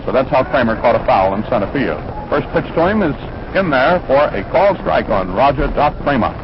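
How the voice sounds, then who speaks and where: An American man announces baseball in an old 1940s radio style, with a mid-pitched voice. He describes the play and chats conversationally. The old broadcast sounds dull, with clear noise around him. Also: very animated, brisk. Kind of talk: 1940s radio sports commentary. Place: ballpark broadcast position.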